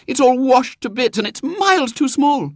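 A man's voice: high-pitched, expressive, a little fast, no room sound, studio quality, voice pushed up. A man voicing an earnest, trusting and anxious little girl in a children's storybook, with an eager, plaintive manner. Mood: sorrowful, hopeful.

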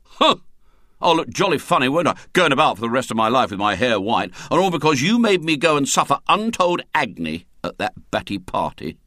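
A man's voice: mid-range voice, big pitch swings, moderate pace, a bit bright, no echo, studio quality, voice pushed up. A man voicing a sulky, melodramatic schoolboy who leads a gang, complaining with exaggerated self-pity. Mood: aggrieved. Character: sulky dramatic schoolboy, outlaw gang leader.

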